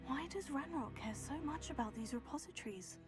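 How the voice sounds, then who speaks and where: Game heroine: young witch, polite, questioning, lightly high voice over music. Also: expressive, brisk. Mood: curious. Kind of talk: scripted video game dialogue.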